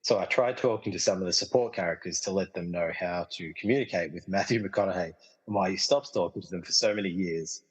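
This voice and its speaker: A man playing an easygoing, casually explaining film lover trapped in a movie, in a comedy radio play, with a husky voice and long reverb. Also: deep voice, fast. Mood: casual, explanatory. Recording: long reverberant hall echo.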